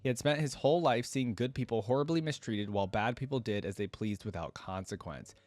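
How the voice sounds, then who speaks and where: A man's voice: mid-range voice, a little fast, darkish tone, dry recording. A man hosting a reaction channel, speaking casually to viewers as he comments and reads story passages aloud. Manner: casual, addresses viewers directly. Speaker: host of a game lore reaction channel.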